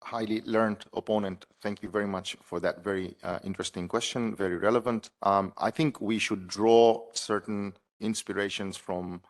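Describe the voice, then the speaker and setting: Low-voiced man, halting academic answers. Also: steady tone. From Tanzania. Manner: measured, with frequent hesitations and restarts. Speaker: PhD candidate defending a thesis.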